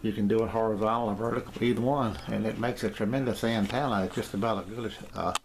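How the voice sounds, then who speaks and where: Low-voiced older man, rambling and hesitant. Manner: rambling, hesitant, repeats himself. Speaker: amateur radio hobbyist giving a shack tour. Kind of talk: casual, unscripted home video tour. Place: small home radio room, indoors. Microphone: handheld camera's built-in mic. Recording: handheld camcorder with clear background noise.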